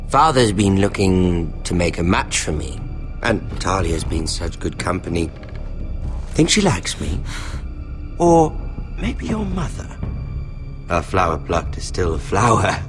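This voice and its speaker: A low, unhurried voice of a sadistic young lord in a fantasy game. He is mock-polite and taunting, swinging theatrically in pitch over music. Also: fairly bright tone, heavily acted. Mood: mocking, menacing. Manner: taunting, theatrical, drawn-out words with sudden swings. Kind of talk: dramatic fantasy video game acting. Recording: music behind the voice.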